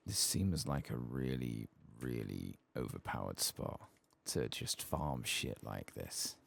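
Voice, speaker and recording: A man giving soft-spoken, sometimes whispered ASMR game commentary, murmuring casually to himself close to the mic and swearing now and then. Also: rumbling low, expressive, slow, gravelly. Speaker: ASMR gaming streamer.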